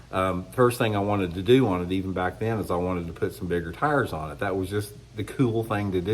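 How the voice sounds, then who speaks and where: A middle-aged man, congested from a cold, giving a blunt, rambling opinion monologue on his fishing channel. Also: low voice. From United States. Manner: rambling, blunt, restarts often. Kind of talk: casual opinion monologue to camera.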